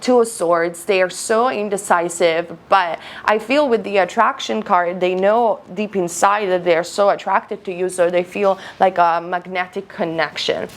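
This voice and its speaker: A woman's voice: on the low side, steady tone, measured pace, hardly any pauses. A woman giving a conversational tarot reading to viewers, emphatic and repeating phrases, her voice recovering after being lost. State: recovering from voice loss. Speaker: tarot reader, online host.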